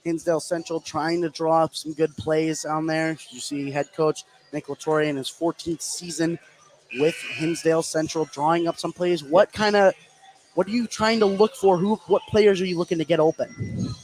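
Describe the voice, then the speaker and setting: A man with a fairly high-pitched voice calls a basketball game live as play-by-play announcer. He follows the action in running, conversational fragments. Clear gym ambience noise sits behind his voice. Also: fairly even intonation, moderate pace, a little mellow, low-quality recording. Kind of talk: live basketball radio commentary.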